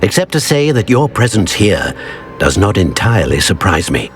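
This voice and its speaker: A man voicing a dignified, guarded old wizard professor in a video game, speaking formally and gravely. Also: on the low side, big pitch swings, normal speed, soft background music. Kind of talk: video game character dialogue.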